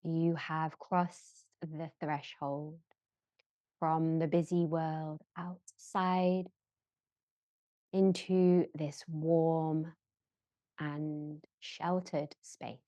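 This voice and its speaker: A woman guiding a yoga nidra relaxation in a gentle, measured manner, leaving long pauses between short phrases. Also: low voice, little pitch movement, no room sound. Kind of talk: slow guided relaxation meditation.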